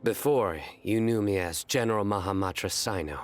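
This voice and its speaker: A man playing a solemn young adventurer in a video game, speaking in a formal, measured, deadpan way. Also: fairly low pitch, soft background music, natural voice. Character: deadpan, solemn young male adventurer who tells bad puns. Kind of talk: animated video game voice acting.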